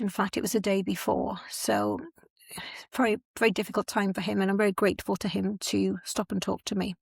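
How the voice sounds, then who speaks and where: A woman's voice: mid-range voice, creaky, no room sound, clean studio sound. A woman hosting a podcast, explaining words patiently and spelling them out letter by letter. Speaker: podcast host. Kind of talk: podcast narration and interviewing.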